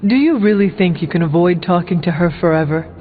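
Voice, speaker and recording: An actor playing an earnest warrior hero in a video game, talking in a formal, careful way. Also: male voice, sits high, animated, a little fast. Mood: frank, supportive. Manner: formal, careful, avoids contractions. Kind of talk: video game character dialogue. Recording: muffled phone-like band, music behind.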